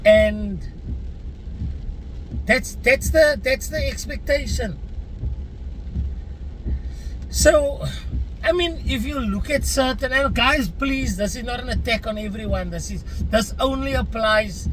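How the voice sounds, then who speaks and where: A man's voice: very high voice, unhurried, fairly bright tone, a little hiss or hum, low-quality recording. A man with a South African accent, a native Afrikaans speaker, giving an earnest, chatty opinion rant to his viewers. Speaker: vlogger. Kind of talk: casual opinion rant to camera.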